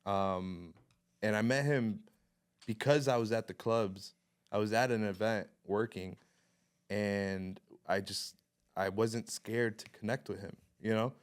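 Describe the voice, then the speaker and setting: A young man from the Miami area telling stories as a podcast guest in a relaxed, casual way, laughing often and mixing in Spanish. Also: on the low side, measured pace, clear, resonant tone. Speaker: podcast guest, photographer and videographer. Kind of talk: casual chat.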